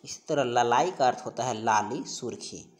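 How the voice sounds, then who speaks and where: A man's voice: medium pitch, moderate pace. A man speaks as an exam-prep teacher. He poses questions and answers them himself. His delivery is explanatory and repetitive, restating key points for emphasis. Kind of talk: question-and-answer grammar lesson.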